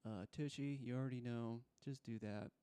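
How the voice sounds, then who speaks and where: A man's voice: medium pitch, steady tone, moderate pace, mellow, smooth, no echo. A man hosting a casual interview podcast, talking in a laid-back, slangy way. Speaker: podcast host.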